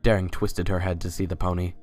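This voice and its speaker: A man's voice: deep voice, little pitch movement, fast talker, a little mellow. A man reading scripted story narration in a dramatic reading. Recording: music under the voice. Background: background music.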